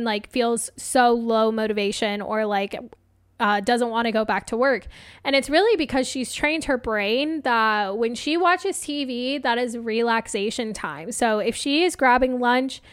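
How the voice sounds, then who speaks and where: A woman hosting a solo motivational podcast as a coach, chatting casually and encouragingly, her voice slightly hoarse. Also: on the high side, no echo, studio quality. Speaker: podcast host and life coach.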